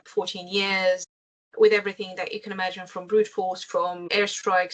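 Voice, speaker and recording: A woman from Syria, a guest speaker giving a measured briefing over a video call, with frequent pauses. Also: mid-range voice, low-quality recording. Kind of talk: webinar briefing.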